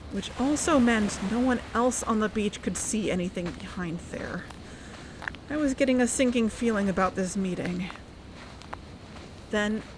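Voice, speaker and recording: A woman playing a shaken young narrator, telling a tense first-person story in a measured, confiding way in an audio drama. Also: mid-range voice, noisy background, close to an everyday voice. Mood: uneasy, tense. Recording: music bed under the voice.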